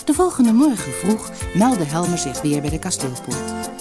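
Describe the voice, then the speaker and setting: A woman narrating a fairy tale audiobook in an expressive, measured, dramatic storybook style. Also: on the low side, over music.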